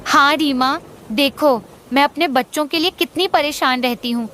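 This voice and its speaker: A woman's voice: high-pitched, normal speed, a bit bright. A woman voicing an anxious pigeon mother with an earnest, insistent cartoon delivery. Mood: anxious, warning. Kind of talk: Hindi moral-story cartoon dialogue.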